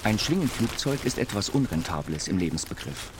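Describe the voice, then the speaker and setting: A man's voice: lowish voice, sing-song, brisk, background noise. A man giving a literary reading of written texts for a radio feature. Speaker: reader of an inventor's writings. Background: music.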